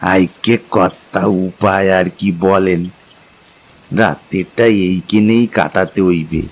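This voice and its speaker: A man playing a huge, simple village porter with a heavy husky voice and rural Bengali accent in an audio drama. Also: deep voice, fairly even intonation, slow pace, heavily acted. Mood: matter-of-fact, deferential. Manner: simple rustic way of talking.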